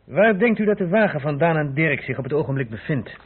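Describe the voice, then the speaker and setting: A man's voice: fairly high pitch, expressive, a little fast, husky, narrow, tinny audio. An actor playing a courteous, inquisitive male police inspector in a radio play. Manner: formal, inquisitive. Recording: thin old radio recording, faint noise.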